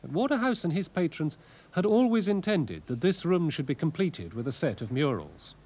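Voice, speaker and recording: Animated male lecturer, slightly husky. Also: on the high side. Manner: measured, scholarly, wry asides. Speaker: presenter, art and architecture lecturer. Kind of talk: scripted documentary narration, educational. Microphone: voice-over narration.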